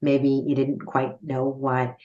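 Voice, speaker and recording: A woman's voice: extremely low pitch, monotone, takes it slowly, dull audio. A woman hosting a podcast interview, upbeat and welcoming, asking follow-up questions. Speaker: podcast host. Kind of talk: conversational interview.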